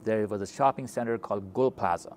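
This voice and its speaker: A middle-aged man with a Pakistani accent, interviewing and telling stories in a conversational, animated way. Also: lowish voice, a little fast. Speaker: interviewer, physician hosting a talk. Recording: noticeable steady background noise.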